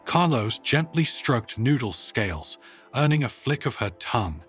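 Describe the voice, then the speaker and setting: A man's voice: mid-range voice, very animated, a little slow, husky. A man narrating a scripted science fiction story. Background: music.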